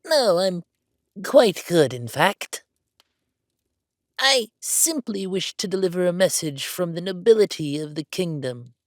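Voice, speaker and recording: A pompous fantasy count in an audio drama, pitched up and swooping with emphasis. He lectures in formal, condescending phrases, blunt and haughty, in a dry recording. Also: expressive, measured pace, dry recording. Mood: officious. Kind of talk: villain roleplay audio drama.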